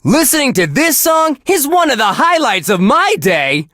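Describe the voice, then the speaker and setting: A man's voice: extremely high pitch, sing-song, normal speed, pushed and bright, no room sound, clean studio sound, full character voice. An actor playing a relentlessly cheerful male radio DJ at a death metal station, gushing and wholesome in his patter. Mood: gushing, upbeat. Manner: gushing, sunny, wholesome delivery. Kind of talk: upbeat radio host patter.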